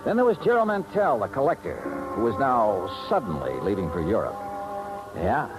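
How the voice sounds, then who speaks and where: A wry hard-boiled male detective, swinging freely in pitch. His delivery is laconic and cool in 1950s radio style, with loud music behind him on a vintage recording. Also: big pitch swings, normal speed, rough recording. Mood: brisk, wry narrating. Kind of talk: 1950s radio detective acting, first-person narration and dialogue.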